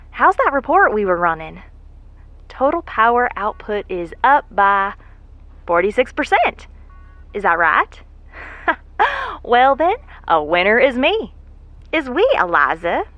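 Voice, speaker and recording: A woman's voice: medium pitch, no room sound, highs cut off. An actress playing a lone rover worker, a chatty, curious woman who mutters to herself in a folksy way in an audio drama. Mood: groggy, affectionate, then pleased. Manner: folksy, muttering to herself, breathy sighs and hesitations.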